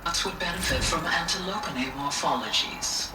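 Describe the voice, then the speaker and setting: A woman playing a gentle, earnest artificial intelligence in a video game, speaking formally and precisely. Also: lowish voice, lively intonation, normal speed, natural voice. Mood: measured, analytical. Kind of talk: scripted video game drama. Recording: echoing, distant, with music underneath.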